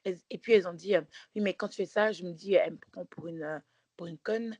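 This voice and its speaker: A woman with a lowish voice, recounting a story in a casual podcast chat. She rambles, doubles back and fills pauses as she talks. Her voice sounds close and dry, with no room around it. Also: a little mellow, dry recording, dull audio. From Belgium. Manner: rambling storytelling with many restarts and fillers. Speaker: podcast co-host.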